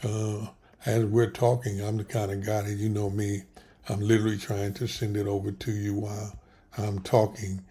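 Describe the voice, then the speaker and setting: A man's voice: on the low side, little pitch movement, moderate pace, warm tone. A man, a pastor, hosting a livestream in a casual, rambling way with many restarts, and he is losing his voice. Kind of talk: casual livestream talk with prayer.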